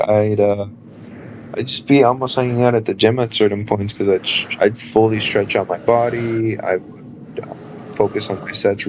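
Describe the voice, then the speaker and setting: A man in his thirties chatting casually on a live stream, loose and rambling with lots of fillers and a low speaking voice. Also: a little hiss or hum. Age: 30s.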